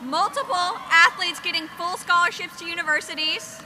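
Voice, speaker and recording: A woman's voice: extremely high pitch, normal speed, noisy background. A young woman graduating student giving an upbeat scripted speech at a graduation ceremony. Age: young adult.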